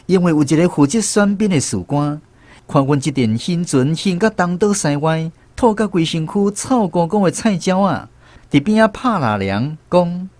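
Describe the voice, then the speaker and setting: An actor playing a former army conscript who narrates his memories in a radio drama, with a Taiwanese accent and an expressive storytelling manner. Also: a little high, moderate pace, no echo, close to an everyday voice. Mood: reflective storytelling.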